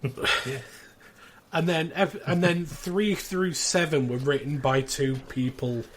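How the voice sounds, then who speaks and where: A man's voice: on the high side, fairly even intonation, takes it slowly, fairly bright tone, a little hiss or hum. A man in his thirties chatting casually and recapping as a podcast co-host.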